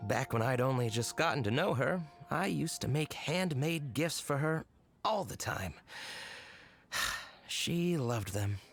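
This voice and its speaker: An actor playing a sheepish, sentimental husband in a video game, hesitant and meek. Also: male voice, medium pitch, expressive, slow pace, faint music behind. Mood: wistful.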